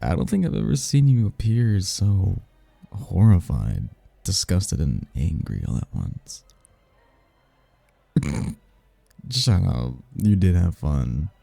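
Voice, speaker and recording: Smug, flirtatious demon prince played by a male actor, very dark husky voice, close dry sound, for ASMR roleplay. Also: big pitch swings, no echo, faint music behind, heavily acted. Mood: teasing, amused. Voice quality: low, gravelly, husky. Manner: teasing, smug, drawn-out flirtatious delivery. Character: smooth-talking seductive male demon prince, playful, teasing, bored. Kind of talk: audio roleplay, ASMR-style voice acting.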